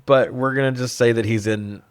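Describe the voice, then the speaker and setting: A man's voice: medium pitch, fairly even intonation, a little fast, clear, resonant tone, no echo. A man co-hosting a casual film review, informal and wry, rambling into asides and swearing freely. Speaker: podcast co-host reviewing films.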